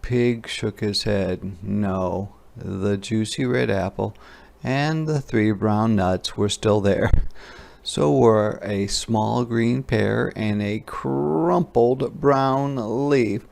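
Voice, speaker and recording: A man narrating a children's picture book aloud in a deliberate, expressive storytelling style. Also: fairly low pitch, vocal fry. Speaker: story reader.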